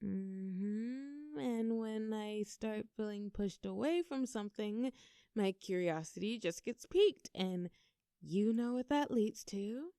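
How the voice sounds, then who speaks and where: A woman's voice: mid-range voice, slow, dark tone, smooth, dry recording, studio quality. A young woman playing a bubbly, affectionate girlfriend in an intimate audio roleplay, talking playfully and tenderly to her partner in a coaxing, conversational way. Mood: teasing, coaxing.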